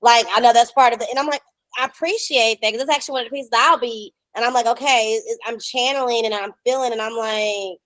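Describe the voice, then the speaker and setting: A woman in her thirties hosting a casual interview on a video call, informal and animated in how she talks. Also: fairly high pitch, fast, no room sound, rough recording. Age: 30s. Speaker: podcast host and actor.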